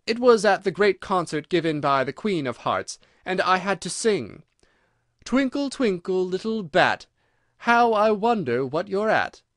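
An eccentric storybook hatter acted by a man, pitched high and very animated. He is pompous and argumentative, leaning hard on his words. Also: unhurried, no echo, clean studio sound. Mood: mournful. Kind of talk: storybook reading.

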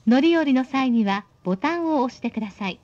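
A woman with a fairly high voice reads recorded train announcements. She is formal and polite, enunciating each phrase. The audio is a little dull and lo-fi. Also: animated, brisk, darkish tone.

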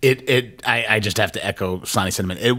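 Fast-talking man with a low voice. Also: bright, forceful tone. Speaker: co-host. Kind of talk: conversational remarks to a guest. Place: wood-panelled recording studio, indoors. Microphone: studio microphone on stand.

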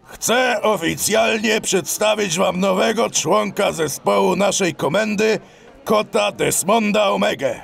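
A bossy animal police chief in a kids' audio drama. His voice is extremely gravelly and high for a man, and he announces things formally at a slow pace. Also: a bit bright, soft background music, exaggerated voice. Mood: official, proud. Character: gruff, bossy male police commandant animal. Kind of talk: children's audio drama acting.

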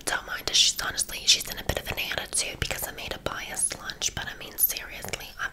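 A woman's voice: pushed and bright, low-quality recording. Whispering, gushing woman playing a chatty hairdresser. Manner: effusive, chatty, uses endearments. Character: gushing, affectionate, gossipy woman hairdresser from a mob family. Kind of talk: whispered ASMR roleplay.

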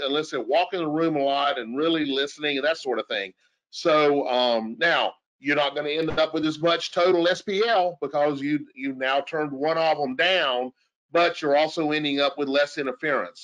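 A man giving an informal technical explanation as an expert, thinking aloud with halting, repeated phrases. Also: on the high side, a bit bright, muffled recording. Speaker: audio engineering expert answering questions.

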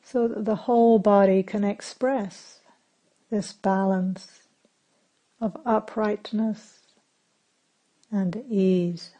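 A woman leads a guided meditation in a dark, relaxed voice. She speaks very slowly and calmly, giving gentle instructions and leaving long pauses between short phrases. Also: medium pitch. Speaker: meditation teacher.

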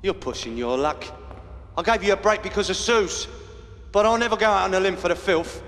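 A man's voice: high voice, brisk, a bit bright. A man playing a stern, threatening criminal gang leader in a video game. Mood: stern threat. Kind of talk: video game cutscene acting. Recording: echoey hall, faint music behind.

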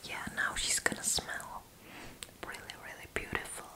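Female, twenties, ASMR roleplay: fully whispered, breathy, gentle, close-miked. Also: normal speed. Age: 20s. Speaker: ASMR video creator playing a roommate. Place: small indoor room. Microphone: close microphone.

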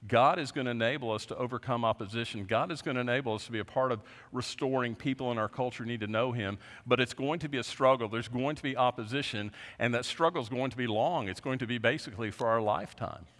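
A man in his fifties, a pastor preaching a sermon from a lectern in a large church, earnest, often dropping his voice low at the end of a point. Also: fast, few pauses, a bit bright. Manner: earnest, gestures widely, voice drops low at ends of points. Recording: long reverb of a large sanctuary.

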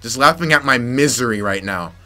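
A young man commentating his gameplay, frustrated and muttering to himself with sudden yelps, slightly raspy from yelling. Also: medium pitch, lively intonation, brisk. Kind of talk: let's play commentary. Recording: faint game music behind.

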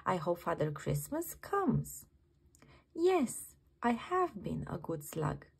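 A woman's voice: lowish voice, sing-song, takes it slowly, gravelly, breathy edge, pitched below the performer's own voice, full character voice. A woman voicing a small, eager, kind-hearted slug from a storybook, earnest and exclamatory. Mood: hopeful.

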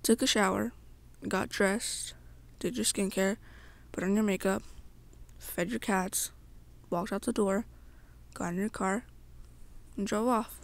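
Young woman doing asmr storytelling, soft n really slow, bit of vocal fry, short choppy phrases. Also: medium pitch, steady tone. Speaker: ASMR roleplay narrator.

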